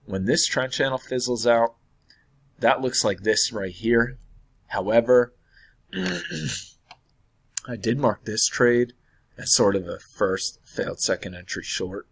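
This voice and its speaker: A man walking through a trading chart in a casual, hesitant way, hoarse and losing his voice from illness, often clearing his throat and correcting himself. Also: mid-range voice, slow, pauses often. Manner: thinking aloud, hesitant with many fillers and self-corrections. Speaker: trading analyst.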